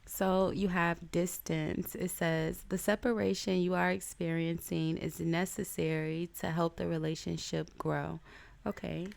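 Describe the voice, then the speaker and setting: A woman giving a casual tarot reading, stuffed up with a cold, talking in a chatty, halting way with many ums. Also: on the low side, flat delivery, vocal fry. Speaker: tarot reader.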